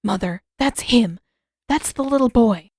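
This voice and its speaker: Woman reading as an emphatic, excitable healer woman. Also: on the high side, measured pace, breathy edge, no echo, studio quality, exaggerated voice. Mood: excited. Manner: unsubtle, emphatic. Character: excitable, awestruck adult woman, a gossipy healer.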